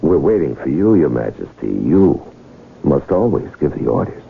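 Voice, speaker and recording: An actor in a 1970s radio drama playing a smooth, wry con artist who talks in a coaxing, deliberate way. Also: male voice, a bass voice, big pitch swings, heavily acted. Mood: smooth, deferential. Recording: muffled, hissy 1970s radio broadcast recording.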